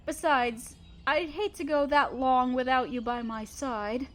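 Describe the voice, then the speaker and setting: A gentle spider queen, acted by a woman with a fairly high voice. She is loving and thoughtful, explaining things tenderly and patiently, as a mother would to an adopted child in an audio roleplay. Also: fairly even intonation, unhurried. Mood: warm, reassuring. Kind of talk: scripted audio roleplay, addressing the listener.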